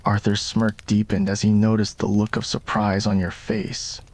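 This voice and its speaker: A man with a fairly low voice narrates a romantic story in ASMR style. The delivery is scripted storytelling, read as a roleplay. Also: monotone, normal speed, darkish tone.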